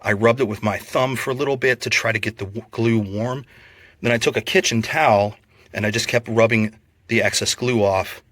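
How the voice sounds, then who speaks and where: A man giving a casual, unscripted product review in a plainspoken, measured, explanatory way. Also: lowish voice, fairly bright tone, no echo.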